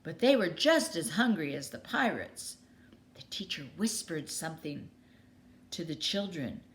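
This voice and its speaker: A woman's voice: low voice, sing-song, a little slow. A woman storyteller reading a children's story aloud expressively. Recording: clearly noisy background.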